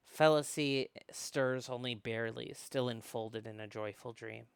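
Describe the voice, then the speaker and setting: A co-host of a book club who chats casually and reads a visual novel aloud, animated and prone to rambling asides. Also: mid-range voice, a little slow, gravelly, smooth, dry recording, studio quality. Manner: animated, rambling, self-interrupting. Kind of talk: casual chat mixed with dramatic read-aloud.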